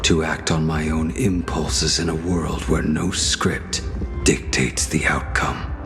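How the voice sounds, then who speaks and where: An actor playing a solemn, resolute warrior in a video game, speaking in a measured, brooding monologue. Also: male voice, very deep voice, steady tone, gravelly, music in the background, full character voice. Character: solemn resolute man, undying warrior freed from fate.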